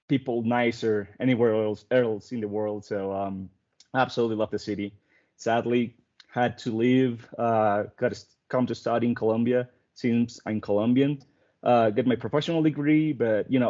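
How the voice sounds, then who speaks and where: A man's voice: medium pitch, darkish tone. Earnest young man, hesitant. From Venezuela. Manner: earnest, hesitant, many ums. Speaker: guest writer. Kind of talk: casual chat. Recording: dull lo-fi sound.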